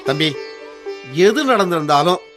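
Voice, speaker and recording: A man's voice: on the high side, measured pace, natural voice. A man playing a middle-aged, dignified and conciliatory uncle in a Tamil radio drama, speaking with earnest emphasis. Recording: faint music bed under the voice.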